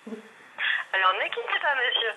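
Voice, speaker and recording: A woman's voice: on the high side, very animated, a little slow, bright, forceful tone. A woman with a French accent answering the phone as a store clerk, polite, puzzled and dryly ironic. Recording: noisy phone line.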